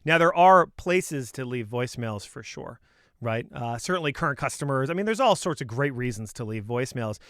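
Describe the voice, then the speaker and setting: A man's voice: medium pitch, animated, moderate pace, a bit bright, no room sound, clean studio sound. A man coaching on sales in an informal, opinionated talk, speaking emphatically and conversationally with punchy rhetorical questions.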